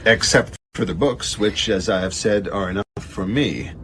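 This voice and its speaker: A man acting a measured, pedantic, evasive establishment gentleman with hesitant, careful speech in a radio drama. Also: on the low side, background noise, natural voice. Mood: musing, aloof.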